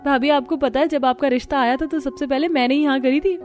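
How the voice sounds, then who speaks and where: Cartoon family story: chatty, bossy visiting relative played by a woman, fairly high pitch, light music behind. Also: steady tone, fast talker, natural voice. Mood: gossipy. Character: demanding, chatty visiting married sister-in-law. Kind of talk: Hindi animated family-drama story acting.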